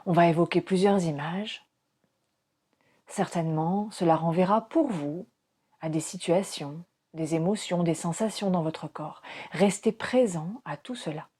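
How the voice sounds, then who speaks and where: A woman guides a relaxation meditation as a yoga nidra teacher, calm and soothing, speaking in short phrases with pauses. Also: on the low side, breathy edge.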